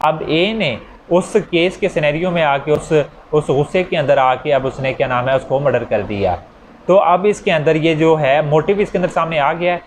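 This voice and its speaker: A man's voice: a little high, moderate pace, slight background noise. A man with a Pakistani accent giving an informal step-by-step law lecture, repeating key phrases and mixing in English legal terms. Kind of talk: explanatory lecture with worked examples.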